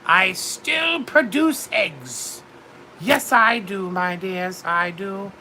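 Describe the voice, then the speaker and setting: A woman's voice: mid-range voice, lively intonation, drawn-out words, pushed and bright, a little hiss or hum, heavily acted, poor audio. A woman voicing a vain widowed duchess in her fifties with a British accent. She chats theatrically with her viewers and keeps calling everyone darling. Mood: grand. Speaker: livestream host. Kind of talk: in-character livestream chat.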